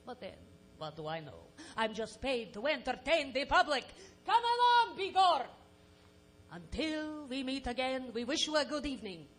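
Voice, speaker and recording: A woman's voice: high voice, big pitch swings, normal speed, soft background music. A woman playing a wry hostess who narrates a live B-movie parody in a dramatic, tongue-in-cheek way. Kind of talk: theatrical scripted narration, live audio theatre parody. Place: stage, live performance.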